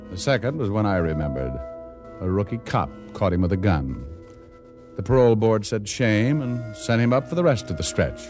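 An actor playing a hard-boiled, wisecracking private detective in vintage radio noir, with a wry, deadpan, slangy delivery. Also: male voice, medium pitch, close to an everyday voice. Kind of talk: 1940s-50s radio noir acting and narration. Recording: vintage radio recording with music behind.

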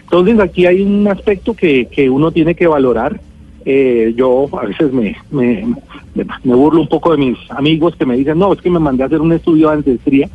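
A man's voice: on the high side, normal speed, slightly rough. A man with a Colombian accent explaining as an expert guest in a radio interview, emphatic and given to everyday examples. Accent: Colombian Spanish. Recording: narrow phone-like line, music.

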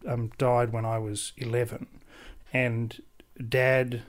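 Young man, Australian accent, twenties, rich formal diction, measured, articulate, podcast guest. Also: mid-range voice, little pitch movement. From central New South Wales, Australia. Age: 20s. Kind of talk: casual interview chat.

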